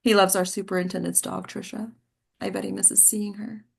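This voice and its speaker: A woman playing an exhausted but devoted mother, halting and conversational, in an informal script read-through. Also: mid-range voice, level tone, vocal fry, husky. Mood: fond, exasperated. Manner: halting, conversational, searching for words.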